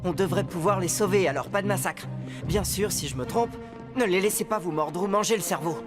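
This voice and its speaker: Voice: mid-range voice, brisk, over music, close to an everyday voice. An actor voicing an earnest, plucky teenage boy hero in a cartoon, eager and decisive. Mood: urgent, determined. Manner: eager, reasoning aloud, decisive. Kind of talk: cartoon character voice acting.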